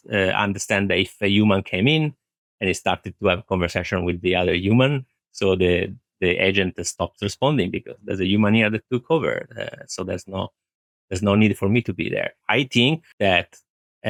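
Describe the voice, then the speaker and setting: A man's voice: lowish voice, fairly bright tone, no room sound. A man answering interview questions as an IT director, explaining his views earnestly and repeating phrases for emphasis. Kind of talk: thoughtful conversational interview answers.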